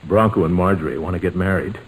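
Deep-voiced, sentimental radio uncle. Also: male voice, fast talker, husky. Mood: subdued, wistful. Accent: American. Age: middle-aged. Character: sentimental middle-aged uncle and family patriarch, hesitant, tender. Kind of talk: old-time radio sitcom acting. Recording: noisy vintage radio recording.

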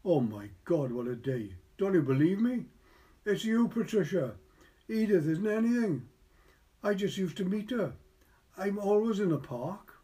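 A man's voice: high voice, animated, a little slow, mellow, voice pushed up. A man playing a defensive, pleading young sweetheart. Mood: pleading, exasperated.

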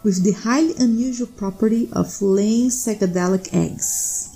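A cool, knowing smuggler woman, put on by a man reading the story. She talks in a high, mellow voice with a breezy, matter-of-fact ease, as if every deal were routine. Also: a little slow, creaky, soft background music. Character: sly smuggler woman, adult, cool and businesslike.

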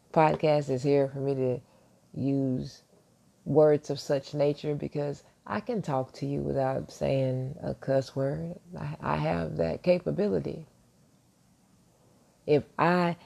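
A self-assured man talks on his podcast in a soft, deep voice with a gravelly edge. His tone is dark and mellow. He keeps it hushed and reflective, circling back with small restarts. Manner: speaking quietly so as not to wake neighbors. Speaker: podcast host. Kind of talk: casual motivational monologue. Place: at home, early morning.

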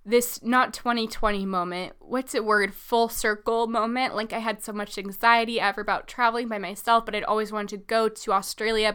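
A young woman in her mid-twenties hosting a podcast, chatty and upbeat, filling her talk with "like" and "um". Her voice is bright and clear, pitched a little high. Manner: chatty, upbeat, lots of "like" and "um", laughs between thoughts. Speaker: podcast host. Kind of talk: casual solo podcast, answering listener questions.

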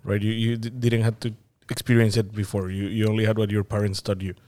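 Lowish-voiced man hosting an interview. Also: flat delivery, quick pace, darkish tone. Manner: asks follow-ups, recaps answers. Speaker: podcast host. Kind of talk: interview questions.

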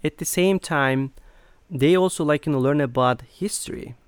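A middle-aged man from Turkey, speaking as an interview guest in a halting way with many fillers. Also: on the high side, clean tone, no echo, studio quality. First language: Turkish. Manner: hesitant, many fillers and restarts. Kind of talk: conversational interview.

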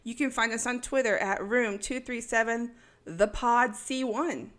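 A woman's voice: fairly high pitch, unhurried, a bit bright. A woman from East Texas co-hosting a casual podcast, blunt and jokey, laughing often. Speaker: podcast co-host.

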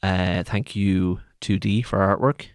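A man hosting a podcast, easygoing in chat and brisk in his sign-off. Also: deep voice, steady tone, dry recording, studio quality. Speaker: podcast host. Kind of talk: casual chat.

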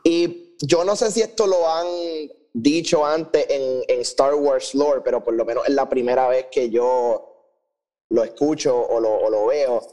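A man co-hosting a podcast, speaking casually and haltingly, repeating words and mixing Spanish with English. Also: mid-range voice, steady tone, mellow, rough recording. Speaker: podcast co-host. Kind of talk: casual conversational review.

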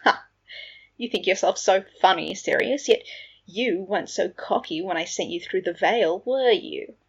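Storybook villainess: haughty aristocratic witch, read by a woman, sneering, husky, lo-fi sound. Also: medium pitch, moderate pace. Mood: contemptuous. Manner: sneering, contemptuous.

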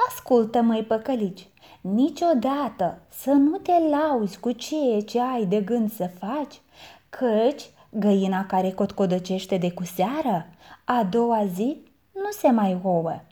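A woman voicing a sharp-tongued middle-aged aunt who scolds with proverbs. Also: a little high, animated, close to an everyday voice. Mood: preachy.

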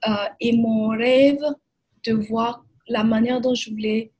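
A woman answers interview questions. Her voice is slightly high and husky, with very little rise and fall. She phrases things carefully, pausing and repeating words as she goes. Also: flat delivery, darkish tone. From Burkina Faso. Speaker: guest, immigration lawyer.

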